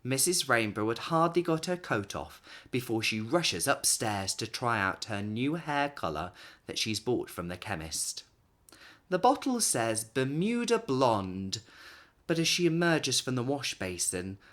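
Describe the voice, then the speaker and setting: Animated man reading to children. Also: mid-range voice, a little slow. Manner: expressive, emphatic storytelling for young children. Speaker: children's storyteller and host. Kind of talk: animated read-aloud of a picture book.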